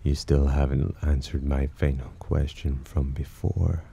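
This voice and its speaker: Casting: male actor as condescending demon for ASMR roleplay, extremely low and husky, menacing yet teasing. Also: fairly even intonation, unhurried, exaggerated voice. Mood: teasing, amused. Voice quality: very deep, dark, husky. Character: haughty, teasing male demon, disdainful of humans, possessively protective. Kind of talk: ASMR roleplay, intimate scripted monologue.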